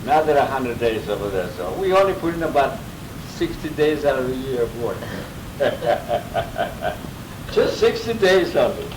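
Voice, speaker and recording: A man's voice: mid-range voice, very animated, a little slow, reverberant, distant mic, background noise. A man in his eighties, from Greece, telling an interview about his childhood in a rambling way with chuckles. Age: 80s. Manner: chuckling, rambling storyteller with restarts. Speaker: interviewee, grandfather. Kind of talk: casual oral-history interview. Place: home.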